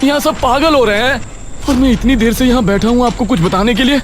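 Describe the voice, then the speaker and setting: A man's voice: very high-pitched, a little fast, slight background noise. A man voicing an earnest young man in a cartoon who pleads and pushes back against a police officer. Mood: urgent. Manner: pleading, then confrontational. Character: earnest, determined young man seeking help.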